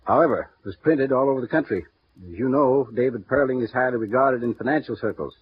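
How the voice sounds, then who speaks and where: Actor playing a guarded insurance executive. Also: male voice, mid-range voice, natural voice. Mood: confiding. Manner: measured, confiding, halting with small pauses. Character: smooth, guarded middle-aged male insurance executive. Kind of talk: 1950s radio drama acting. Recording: muffled vintage radio broadcast with faint hiss.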